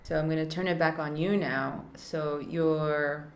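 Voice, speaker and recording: A woman's voice: deep voice, fairly even intonation, measured pace, loud music behind the voice. A woman hosting a casual podcast conversation, speaking earnestly and searching for her words with frequent restarts. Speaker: podcast host. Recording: roomy echo with faint background noise.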